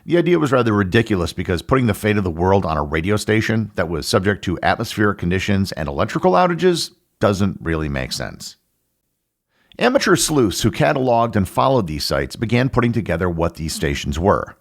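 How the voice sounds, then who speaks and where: A middle-aged American man hosting a podcast, reading scripted educational narration in a measured, explanatory way. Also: on the low side, very animated. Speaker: podcast host.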